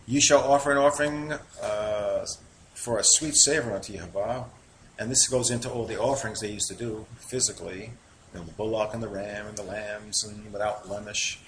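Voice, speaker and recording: A man with a New York accent, sitting at a mid-range pitch, works through scripture verse by verse. He reads the passages with a little lift and then drops into easy, conversational explanation. A faint hiss of background noise sits under the voice. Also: a bit bright. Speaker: Bible teacher. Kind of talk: informal Bible teaching.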